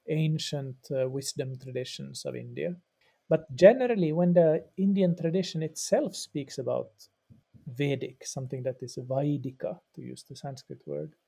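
A man's voice: a little high, vocal fry, dark tone. A middle-aged man from Finland whose first language is Swedish, a religious studies professor explaining patiently in a podcast interview, often repeating words as he thinks. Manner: thoughtful and explanatory, with frequent repeats and fillers.

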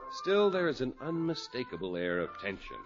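A man's voice: mid-range voice, big pitch swings, normal speed, music in the background. A man announcing a 1950s radio show, reading scripted narration and sponsor copy. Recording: muffled old radio broadcast.

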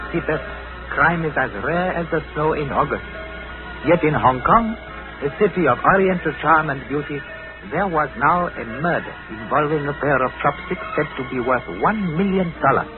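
An actor in a 1940s radio drama playing a wise Tibetan servant who narrates in a stately, formal manner. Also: male voice, on the high side, close to an everyday voice. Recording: tinny old radio recording, music behind.

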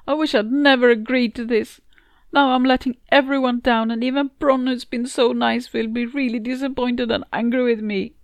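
A narrator acts out a British woman at the end of her tether in a dramatic story. Her voice sits fairly high, emphatic and full of self-doubt. It is recorded dry and close. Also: fairly even intonation, normal speed, no room sound, close to an everyday voice. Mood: distressed, self-blaming. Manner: anguished, self-berating, emphatic. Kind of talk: dramatic first-person story dialogue.

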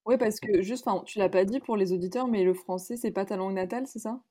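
A woman hosting a podcast interview, asking curious questions and dropping quick agreeing backchannels. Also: medium pitch, mellow. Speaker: podcast host. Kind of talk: casual interview chat.

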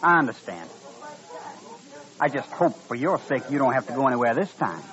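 An actor playing a proud, hot-headed young Southern gentleman in a radio western, speaking with formal politeness. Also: mid-range voice, big pitch swings, normal speed. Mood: stiff, resolute. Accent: American South. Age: young adult. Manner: formal, deferential, says sir often. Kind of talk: 1950s radio western acting. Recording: dull, lo-fi old radio recording.

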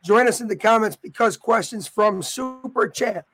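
A man with a raspy voice hosting a live sports show, talking upbeat and straight to his viewers. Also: sits high, moderate pace, a bit bright. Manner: upbeat and promotional, addressing viewers directly. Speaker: host.

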